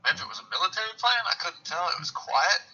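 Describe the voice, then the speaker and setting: A man's voice: high-pitched, animated, fast, bright, forceful tone, husky. A man chatting casually about sports as an interview guest over the phone, in a rambling, conversational way. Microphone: phone call. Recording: muffled radio-like phone line.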